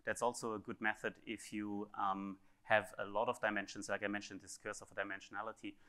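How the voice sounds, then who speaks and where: A man speaker answering audience questions after a technical conference talk, pausing often with fillers and restarts. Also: fairly low pitch, level tone, bright, forceful tone. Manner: hesitant, many fillers and restarts.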